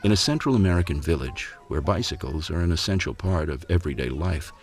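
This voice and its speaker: A man narrating a travel documentary in a measured, reflective scripted delivery. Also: deep voice, lively intonation. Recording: narration over loud music.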